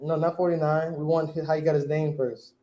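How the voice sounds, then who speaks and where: A man's voice: on the high side, little pitch movement, a little fast, mellow, roomy sound, lo-fi sound. A man teaching a class, quizzing his students and repeating key words.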